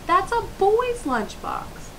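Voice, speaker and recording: A woman's voice: extremely high pitch, slow pace, voice pushed up, exaggerated voice, rough recording. A woman voicing a hurt, bullied schoolgirl in a storybook, speaking emphatically and plaintively. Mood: upset, plaintive. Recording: clear steady hiss.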